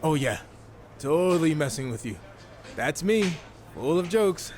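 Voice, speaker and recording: A man's voice: a little high, lively intonation, a little slow, darkish tone. A man playing a confident, wry American fighter turned rookie wrestler in a video game cutscene, with a dry manner. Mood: teasing, dry. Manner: self-assured, dry.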